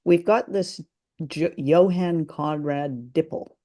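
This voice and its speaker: A woman's voice: deep voice, slow, dark tone. A woman from Canada co-hosting a podcast in casual chat, speaking in a wry, thoughtful and somewhat hesitant way. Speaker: podcast co-host. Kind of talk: casual chat reviewing a radio drama.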